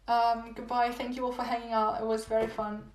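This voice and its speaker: Young woman, higher voice, hoarse, rambling, echoey room. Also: flat delivery, a little fast, slight background noise. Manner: rambling, repeats herself, giggly. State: losing her voice. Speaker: livestreamer. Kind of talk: casual livestream chat with viewers. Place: home room. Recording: echoey room, phone livestream.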